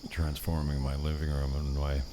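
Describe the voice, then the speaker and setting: A man's voice: rumbling low, level tone, normal speed. A man hosting a podcast, chatting in a blunt, offhand, grumbling way in a bare living room. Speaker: podcast host. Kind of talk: casual chat, muttering about setup. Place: living room of small apartment, emptied of furniture. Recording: noisy echoey living room, insects.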